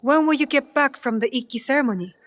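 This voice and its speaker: Young female ninja, higher register, earnest, eager, determined, concise lines, video game drama. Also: fast, fairly bright tone, no echo. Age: young adult. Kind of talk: video game cutscene acting.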